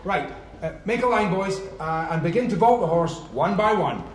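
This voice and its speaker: An actor playing a hesitant male gym teacher giving halting instructions in a comedy drama. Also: high-pitched, lively intonation. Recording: echoey gym, faint noise.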